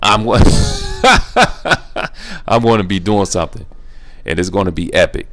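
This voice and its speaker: Casual male host, very low voice, loose rambling delivery with lots of restarts. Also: big pitch swings, unhurried, fairly bright tone. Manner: rambling, repeats himself, restarts often; laid-back, playful teasing. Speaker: YouTube Q&A host. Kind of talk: casual solo Q&A monologue.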